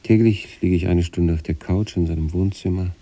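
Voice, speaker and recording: An actor playing a troubled, detached sanatorium patient who recites letters to his wife in a measured, brooding radio play monologue. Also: low voice, steady tone, mellow, husky. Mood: introspective, estranged.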